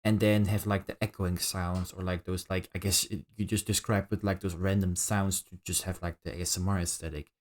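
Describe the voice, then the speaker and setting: A man with a low voice hosts a relaxed podcast conversation. He is polite and hesitant, feeling his way through each sentence in stops and starts. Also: brisk, few pauses. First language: Dutch. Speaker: podcast host. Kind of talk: casual podcast interview chat.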